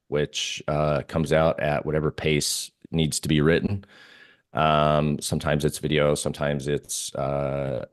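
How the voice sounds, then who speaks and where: Man, interview guest, extremely low pitch, dry sound, halting and relaxed conversational delivery. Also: dry recording. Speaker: interview guest, systematic trader and newsletter writer. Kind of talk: casual interview talk on markets.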